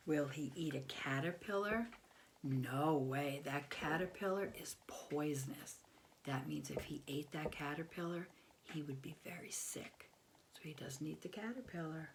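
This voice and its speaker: A woman's voice: low voice, a little slow, creaky, a little hiss or hum, poor audio. An American woman in her fifties reads a picture book aloud to young children, expressively, with simple explanations and questions to the listener. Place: small bedroom at home, indoors.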